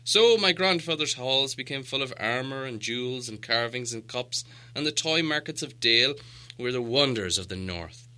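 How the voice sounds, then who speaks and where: A proud old dwarf lord acted out by a male reader tells his people's history like a storybook elder. He is solemn and grandiose, with a very bright, forceful edge to a middle-pitched voice. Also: lively intonation, normal speed, clean tone. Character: proud, solemn old dwarf leader recounting lost glory. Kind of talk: audiobook character dialogue.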